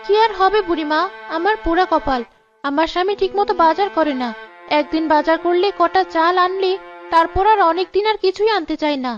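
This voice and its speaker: Casting: actress as long-suffering cartoon newlywed wife, very high voice, pleading and lamenting, lo-fi sound. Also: female voice, a little fast. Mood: sorrowful. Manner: plaintive, polite, lamenting. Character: long-suffering, hungry young bride, pleading and reproachful. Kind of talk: cartoon dialogue acting. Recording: dull lo-fi sound with music behind.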